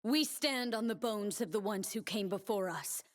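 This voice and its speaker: An actress voicing a proud, commanding warrior dancer who defends her homeland, speaking solemn, measured lines for a video game. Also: medium pitch, big-room sound, natural voice. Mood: grim resolve.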